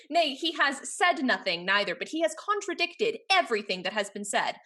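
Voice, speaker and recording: A woman's voice: high voice, sing-song, moderate pace, bright, forceful tone, exaggerated voice. A woman playing a spirited, fashionable young heiress in a Restoration comedy, haughty, witty and affected. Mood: witty exasperation. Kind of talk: theatrical Restoration comedy acting.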